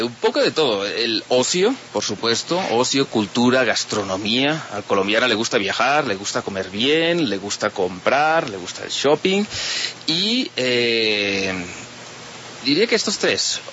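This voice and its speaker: A man with a Catalan accent speaks in a measured, informative way, pausing now and then as he answers questions. His pitch sits in an average range. The sound is muffled, like a radio broadcast with a faint hiss under it. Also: sing-song, bright, forceful tone. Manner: measured, informative, with frequent hesitations. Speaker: trade and tourism delegate.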